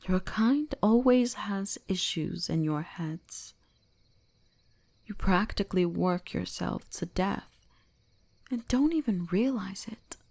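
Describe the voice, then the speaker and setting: A woman voicing a teasing, gently dominant kitsune shrine maiden in an intimate ASMR roleplay, often slipping into whispers. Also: mid-range voice, dry recording.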